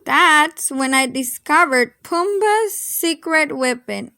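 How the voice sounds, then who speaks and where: A woman reading a children's story aloud in an expressive, dramatic storytelling manner. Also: sits high, slow pace, creaky, no echo, clean studio sound. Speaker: storybook reader.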